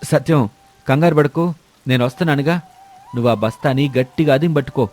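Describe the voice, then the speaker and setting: A man's voice: medium pitch, brisk, darkish tone, close to an everyday voice. Casting: steadfast elder village brother, played by a man, reassuring and earnest, music behind. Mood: urgent, reassuring. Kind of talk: moral story character dialogue.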